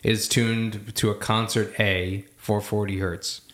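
A man's voice: on the low side, flat delivery, slow, fairly bright tone. Laughing rambling man, echoey room. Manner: rambling, self-deprecating, laughs often. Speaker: podcast co-host, band member. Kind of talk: casual chat.

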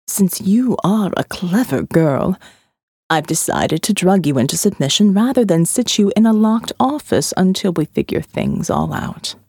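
A woman voicing a smooth, elegant male captor who calmly lectures his prisoner in an audiobook. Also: mid-range voice, measured pace, dry recording, studio quality, pitched below the performer's own voice. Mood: smoothly menacing. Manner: calm, smooth and menacing, lecturing. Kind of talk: audiobook character voice.

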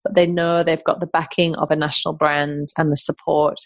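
A woman's voice: low voice, level tone, fairly quick, dry recording. Podcast host, woman, English accent, middle-aged, enthusiastic and chatty, dry close sound. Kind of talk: casual podcast monologue.

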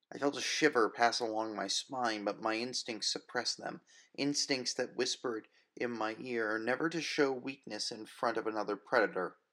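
Low-voiced man narrating dramatic fiction. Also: a bit bright. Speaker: audiobook narrator. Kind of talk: dramatic scripted first-person fiction narration.

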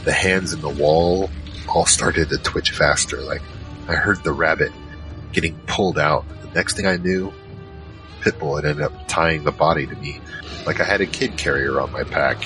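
An actor playing a huge, shaken survivor recounting a monster battle in a halting first-person audio drama narration. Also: male voice, bright, forceful tone, heavily acted. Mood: haunted, recounting. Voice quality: very low, heavy voice. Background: music.

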